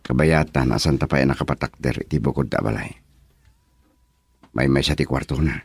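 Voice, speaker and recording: A man playing an earnest, hardworking young husband who speaks gently to his wife in an Ilocano radio drama. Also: a bass voice, brisk, creaky, husky, dry recording, faint music behind, full character voice.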